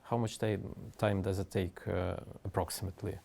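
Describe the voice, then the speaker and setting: A man interviewing a guest, asking measured questions. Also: low voice, level tone, vocal fry, warm tone.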